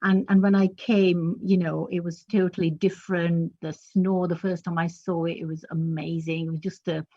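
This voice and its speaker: A woman poet and teacher whose first language is Urdu, reading her poems and speaking earnestly on stage, with a halting manner and many restarts. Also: lowish voice, flat delivery, mellow, highs cut off. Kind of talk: poetry reading and stage interview.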